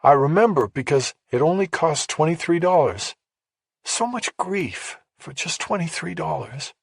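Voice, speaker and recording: Casting: broken middle-aged mother played by a male narrator, lifted pitch, husky, halting, bitterly resigned, for a crime audiobook. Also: lively intonation, no echo, clean studio sound, full character voice. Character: grieving middle-aged mother, broken, guilt-ridden, confessing a secret.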